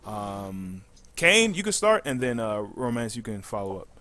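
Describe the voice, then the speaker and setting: A man's voice: on the low side, sing-song, a little slow, bright, forceful tone. A man hosting a casual gaming interview, putting questions to his guests. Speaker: interview host.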